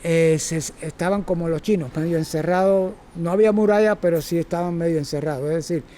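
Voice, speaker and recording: Mellow Cuban man lecturing on circus. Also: fairly high pitch, steady tone, brisk, a little hiss or hum. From Cuba. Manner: rambling, self-correcting, chatty. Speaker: circus instructor. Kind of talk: informal workshop lecture.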